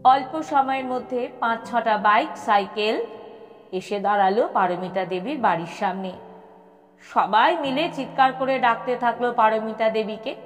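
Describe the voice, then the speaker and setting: A woman narrating a scripted audio story expressively, with dramatic pauses. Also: on the high side. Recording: long reverb with music behind. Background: background music.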